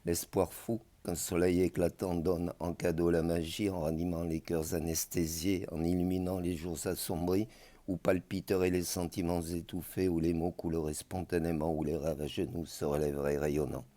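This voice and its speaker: A man reciting a scripted spoken-word poem in a solemn, measured way, with deliberate phrasing. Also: low voice, level tone, mellow.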